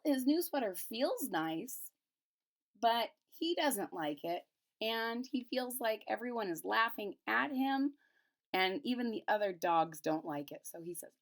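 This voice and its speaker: A woman reading a children's storybook aloud, pausing to retell and explain it simply for young listeners. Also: a little high, expressive. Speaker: storybook reader. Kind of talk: children's picture-book read-aloud with retelling in plain words.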